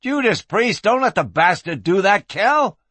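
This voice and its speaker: A man's voice: on the high side, wildly swooping pitch, a little slow, a bit bright, breathy edge, dry recording, voice pushed up, full character voice. A man voicing a hot-headed, blustering cowboy gunslinger in an audiobook Western, full of outrage and frontier slang. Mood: raging.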